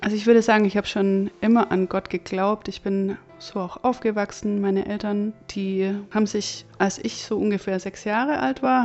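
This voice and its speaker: A woman in her thirties speaks in a mellow, conversational tone and pauses now and then. The sound is somewhat dull, with music playing faintly. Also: mid-range voice, vocal fry. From Stuttgart, Germany. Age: 30s. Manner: conversational, hesitant with fillers. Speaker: interviewee. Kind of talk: personal testimony.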